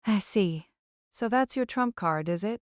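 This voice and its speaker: A woman's voice: mid-range voice, animated, a little slow, no echo, clean studio sound, close to an everyday voice. A woman voicing a shrewd old noblewoman mage in an audiobook, with a breezy, teasing and nonchalantly menacing manner. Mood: cool menace. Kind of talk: audiobook character acting.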